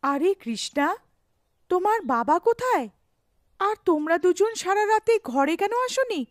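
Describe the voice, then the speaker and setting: A woman's voice: very high-pitched, sing-song, moderate pace, no room sound, clean studio sound, voice pushed up. A woman voicing a distraught, pleading village mother in a melodramatic cartoon. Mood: worried.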